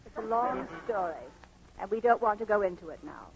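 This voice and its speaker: A woman's voice: mid-range voice, animated, fairly quick, a little hiss or hum, natural voice. An actress playing a spirited, affectionate and poised newlywed woman doctor in 1940s radio comedy style. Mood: poised, insistent. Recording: muffled old radio broadcast.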